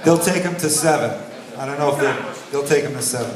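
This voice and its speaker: A man's voice: medium pitch, brisk, a crowd in the background. A man in a band answering fan questions in a laid-back, hesitant way. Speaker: band member on a fan Q&A panel. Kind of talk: casual panel answers. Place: event hall on a cruise ship. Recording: echoey room.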